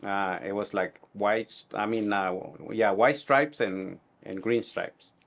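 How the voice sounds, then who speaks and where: A middle-aged man from Guatemala with a Guatemalan Spanish accent, answering interview questions in a halting, reflective way. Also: on the low side, steady tone, vocal fry, fairly bright tone. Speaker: tailor being interviewed.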